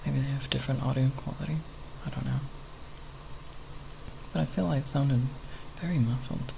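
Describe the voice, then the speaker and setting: Soft asmr voice, super creaky n breathy, slow hesitant rambling, close mic w loud hiss. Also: medium pitch, fairly even intonation. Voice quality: soft, breathy, partly whispered. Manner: hesitant, halting, with long pauses. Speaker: ASMR video creator. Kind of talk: ASMR, unscripted nerdy ramble.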